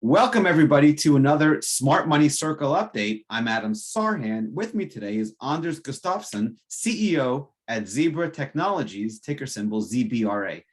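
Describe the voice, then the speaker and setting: A man hosts an interview show, welcoming his guest and asking questions. His voice sits at an average pitch, and he meets the answers with enthusiastic, encouraging remarks. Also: sing-song, moderate pace, few pauses. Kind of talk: interview hosting.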